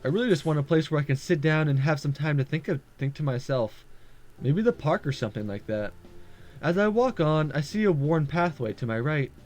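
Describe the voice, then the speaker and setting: A fairly high-voiced man reads a visual novel aloud for a gaming video. His delivery swings up and down a lot, with casual asides and small stumbles, and soft music plays behind him. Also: expressive, a little fast. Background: game background music.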